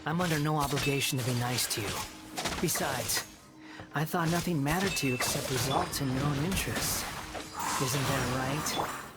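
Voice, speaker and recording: A youthful, arrogant antagonist from a video game , acted by a man. His medium-pitched voice is biting and sardonic, treating others with open scorn. Music plays clearly behind him. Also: normal speed, close to an everyday voice. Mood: sarcastic. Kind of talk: video game cutscene acting.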